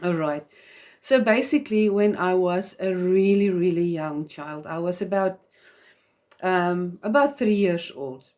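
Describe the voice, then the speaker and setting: A woman's voice: fairly low pitch, a little slow, darkish tone. A woman whose first language is Afrikaans, speaking English with a South African accent as she hosts a live video for mothers in an earnest, chatty way with frequent fillers. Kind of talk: live-stream talk to viewers.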